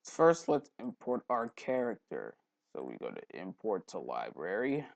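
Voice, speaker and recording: Young male tutorial host, creaky fry, lively intonation, rambling and off-the-cuff delivery. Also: on the low side, a little slow, muffled recording. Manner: rambling, thinking aloud, frequent throat clearing. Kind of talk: casual screen-recorded software tutorial. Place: indoors at a computer, voice-over for screen recording.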